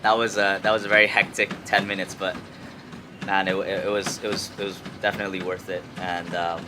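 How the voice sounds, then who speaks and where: Low-voiced young man, halting, city noise behind. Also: level tone. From Hong Kong. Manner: halting, repeats words, slangy with frequent "like" and "you know". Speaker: music artist, interview guest. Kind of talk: casual chat. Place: outdoors on an urban rooftop. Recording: clear outdoor city traffic noise.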